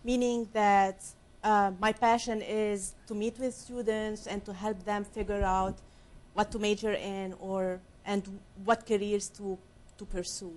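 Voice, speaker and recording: Middle-aged woman, soft and earnest, talking career counseling in a tv studio. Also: mid-range voice, level tone, measured pace, hardly any pauses. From Lebanon. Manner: soft-spoken, earnest, explanatory. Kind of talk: studio interview. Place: small TV studio set, seated at a desk. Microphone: lapel mic.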